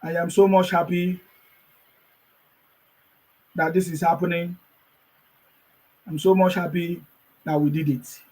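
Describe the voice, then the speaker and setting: Hoarse man, high and slow. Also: level tone, a little mellow, rough recording. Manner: joyful, repetitive, exhorting. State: voice worn from chanting. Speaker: political supporter addressing followers. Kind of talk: excited celebratory address.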